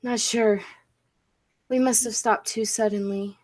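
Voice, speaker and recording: A bold professor of invention acted by a woman, absorbed in daring experiments. The voice is fairly high and very unhurried, commanding and theatrical in the manner of 1940s radio drama. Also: steady tone, darkish tone. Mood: calm wonder. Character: Dudley, bold, absorbed scientist and professor inventor of a time machine. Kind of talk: 1940s-style radio play acting.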